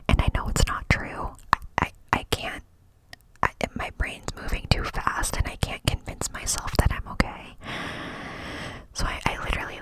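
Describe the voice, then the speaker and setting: A young woman telling a personal story in a chatty whisper, with many fillers. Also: poor audio. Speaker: vlogger.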